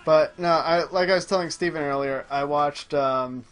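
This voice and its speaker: A man's voice: on the high side, normal speed, faint music behind. A man casually chatting and commenting as he plays a video game, with dry, joking sarcasm. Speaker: host playing a video game on a gaming show. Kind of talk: casual chat and gameplay commentary.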